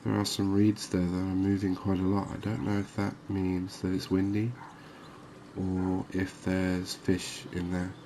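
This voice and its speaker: A man giving calm, unhurried ASMR-style commentary on a fishing game, explaining things as he plays. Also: sits low, a little hiss or hum. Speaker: gaming video host.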